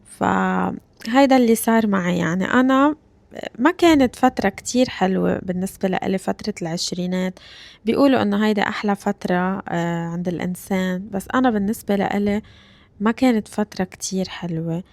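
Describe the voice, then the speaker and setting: A woman in her thirties from Lebanon, with a Lebanese Arabic accent, hosting a language-learning podcast. She talks slowly and deliberately, repeats phrases and checks in with her listeners. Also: mid-range voice, vocal fry, a little mellow, dry recording. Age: 30s. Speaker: Arabic teacher and podcast host. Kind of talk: slow, clear podcast lesson for learners.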